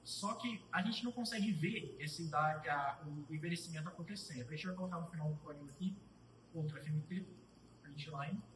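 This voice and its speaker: A young man with a fairly high voice talks through code as he types it. He thinks aloud, pauses and restarts his sentences, and sounds a little distant from the mic. The room adds a roomy echo, with a faint hum of ambience behind him. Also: a bit bright. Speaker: student presenter. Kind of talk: live coding tutorial.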